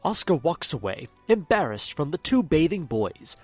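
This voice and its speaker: A fussy male narrator tells the story with a wildly swinging, fairly high voice. The recording is close and dry, with faint music in the background. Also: big pitch swings, normal speed, darkish tone, slightly rough, no echo. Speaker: narrator of the audio drama series. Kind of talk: scripted narration.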